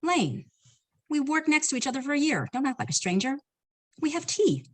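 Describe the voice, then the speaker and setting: A woman's voice: high voice, very animated, normal speed. A woman in an amateur table read playing a breezy, needling business partner. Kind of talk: amateur script reading.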